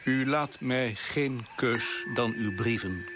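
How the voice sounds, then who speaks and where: A man playing a stern, disapproving senior colonial official in a radio play, with a measured, formal, pressing manner. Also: mid-range voice, heavy background noise, natural voice. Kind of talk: Dutch radio play acting.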